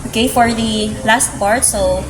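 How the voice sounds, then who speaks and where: A woman's voice: a little high, a little slow, background noise. A young woman interviewing a student, working through prepared questions and starting most with "okay, so". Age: young adult. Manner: methodical, frequent "okay, so" fillers.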